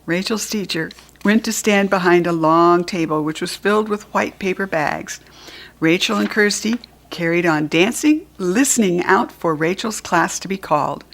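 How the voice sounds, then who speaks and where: A woman reading a children's storybook aloud, clearly and expressively. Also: fairly low pitch, moderate pace. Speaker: storybook reader.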